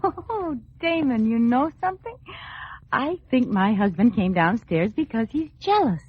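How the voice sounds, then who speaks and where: An actress playing a pert, playful wife, perky and wisecracking in mid-century radio comedy style. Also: female voice, a little high, sing-song, a little slow, slightly rough, heavily acted. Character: pert, playful wife posing for her portrait. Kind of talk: 1940s-50s radio comedy acting. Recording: noisy, muffled old radio broadcast.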